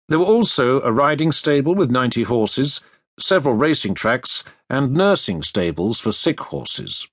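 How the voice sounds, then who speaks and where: Expressive male audiobook narrator, very dry sound. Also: mid-range voice, dry recording. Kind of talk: scripted nonfiction history narration. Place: dry recording studio. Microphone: close studio microphone.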